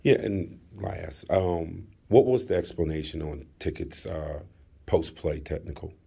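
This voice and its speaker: Reporter, man, low dark husky voice, conversational questions. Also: measured pace. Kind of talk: asking press conference questions.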